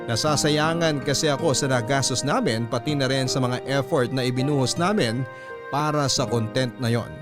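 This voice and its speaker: A man's voice: medium pitch, moderate pace, close to an everyday voice. Actor as young man narrating, music behind. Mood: confessional. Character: young male vlogger confessing his ghost story. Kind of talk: first-person radio drama narration.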